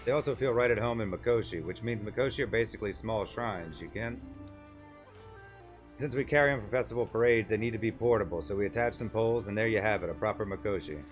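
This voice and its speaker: Man doing a gruff, salty shipwright, low voice. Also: fast, soft background music, natural voice. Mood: instructive. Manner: salty working-class dialect, blustering. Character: gruff, rough-spoken male shipwright, quick-tempered but helpful.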